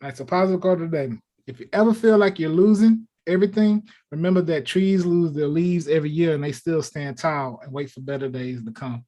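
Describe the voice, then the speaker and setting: A man's voice: fairly high pitch, a little fast, hardly any pauses, mellow. A man co-hosting a talk show in a casual, conversational style. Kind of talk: casual chat.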